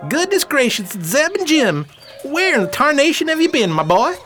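A woman's voice: high-pitched, very animated, normal speed, over loud music. A woman playing a hearty, folksy older rancher in a radio drama, exclaiming in amazement. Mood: amazed.

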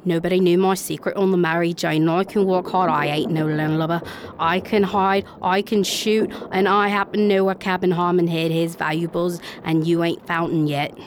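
A woman playing a tough, defiant young girl disguised as a cabin boy in a radio drama, talking like a rough sailor. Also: lowish voice, fairly even intonation, normal speed, slight background noise, natural voice. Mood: bargaining. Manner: rough uneducated sailor talk.

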